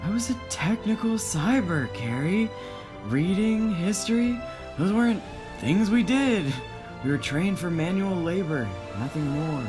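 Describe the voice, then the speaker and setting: A man performs a gentle male cyborg with old hurts and a love of books. The voice sits fairly high and comes out hesitant and bashful, as in a visual novel game, with music clearly behind it. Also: expressive, measured pace. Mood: guarded, confessing.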